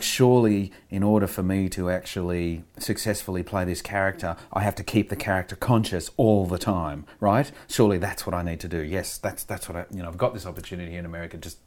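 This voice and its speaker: A deep-voiced middle-aged man with an Australian accent talks through his working habits in a relaxed, reflective way. He rambles, restarts sentences and leans on "you know", breaking off with quick laughs now and then. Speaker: actor being interviewed. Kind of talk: reflective conversational interview.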